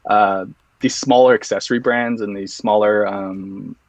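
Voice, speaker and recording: A man's voice: lowish voice, steady tone, a little slow, dry recording. A man guesting on an interview, talking casually and loosely with lots of restarts and fillers. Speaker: guest, EDC accessory reviewer.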